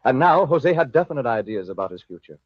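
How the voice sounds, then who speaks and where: A man's voice: mid-range voice, big pitch swings, fast, no echo. A man giving scripted narration for an old-time radio drama. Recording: dull lo-fi old radio recording. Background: music.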